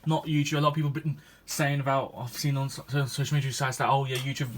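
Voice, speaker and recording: A man's voice: mid-range voice, flat delivery, fast. A 21-year-old man, a gaming YouTuber chatting to his viewers in a rambling, hesitant way, full of restarts and ums. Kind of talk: casual vlog chat to viewers.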